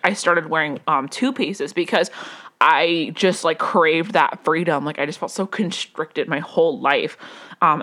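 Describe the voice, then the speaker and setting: Low-voiced young woman telling a story. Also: a bit bright. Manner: rambling, many fillers and restarts, self-correcting. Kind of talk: casual personal storytelling. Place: home.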